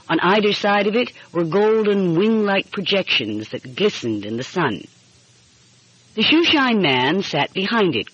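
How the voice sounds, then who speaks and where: A woman's voice: low voice, breathy edge, dry recording. A woman reading a mystery story aloud as scripted audiobook narration. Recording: muffled, dull lo-fi audio.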